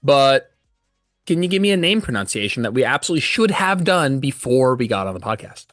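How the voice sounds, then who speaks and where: A man's voice: medium pitch, big pitch swings, fairly quick, fairly bright tone, no echo, clean studio sound, faint music behind. A man hosting a podcast, asking long, loosely strung questions with restarts. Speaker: podcast host. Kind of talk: casual interview chat.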